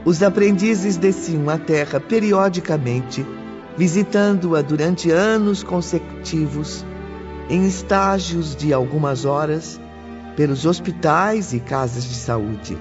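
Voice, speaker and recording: A man's voice: fairly high pitch, slow pace. A man reading scripted third-person audiobook narration as the storyteller. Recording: dull sound, music underneath. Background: music.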